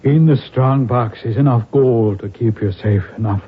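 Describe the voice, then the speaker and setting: An actor as a dying Irish nobleman in an old radio play, commanding yet weary and urgent. His voice is middle-pitched and a little husky, with halting deathbed phrasing. The recording is muffled and thin, like vintage radio. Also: natural voice. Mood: grave, pleading. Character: dying Irish lord, wounded rebel, commanding and fatherly. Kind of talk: old-time radio drama acting.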